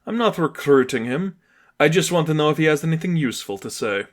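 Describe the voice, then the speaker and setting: A man's voice: a little high, lively intonation, brisk, voice pushed up, lightly acted. A man voicing a confident villain boss, commanding and smugly persuasive.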